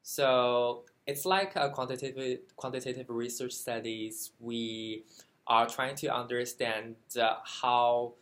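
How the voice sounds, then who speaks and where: A man from China, Chinese by first language, answers a graduate-program interview in a bright, clear voice. He speaks carefully and haltingly, filling his pauses with ums and uhs as he looks for the words. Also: medium pitch, steady tone. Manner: careful, halting with many fillers. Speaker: graduate student.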